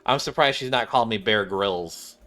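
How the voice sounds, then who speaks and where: A man's voice: lowish voice, normal speed, fairly bright tone, faint music behind. A man giving casual let's-play commentary as a gaming streamer, talking through a game and reading some of it aloud.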